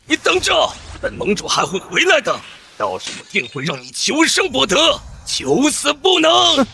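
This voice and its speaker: A man voicing an arrogant, godlike alien overlord in an animated comic drama, pompous, contemptuous and menacing. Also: very high voice, moderate pace, creaky, slight background noise, exaggerated voice. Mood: vengeful fury.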